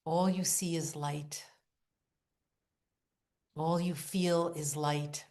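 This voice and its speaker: A woman with a low voice leads a guided meditation at a very slow pace. She sets down short, deliberate phrases and leaves long pauses between them. Her delivery is hushed and soothing, with an incantatory repetition. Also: fairly even intonation. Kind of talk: guided visualization, meditative narration.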